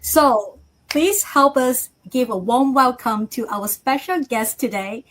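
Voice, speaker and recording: A woman from Malaysia hosting a live online interview, polite and measured as she introduces her guests over a video call. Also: high voice, a bit bright. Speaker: interview host.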